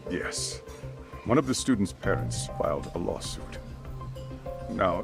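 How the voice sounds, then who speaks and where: A grave older chairman in a game cutscene. His low, gravelly voice is formal and hesitant, rising and falling expressively as he chooses his words, with music clearly playing behind him. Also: full character voice. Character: grave, weary older male school chairman, courteous and hesitant. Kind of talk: video game cutscene acting. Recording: background music under the dialogue.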